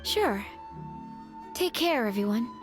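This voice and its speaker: An actress playing a composed, courteous undertaker in a video game, with a formal, measured, discreet manner. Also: female voice, on the high side, lively intonation, music in the background. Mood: helpful. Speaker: funeral parlor staff character.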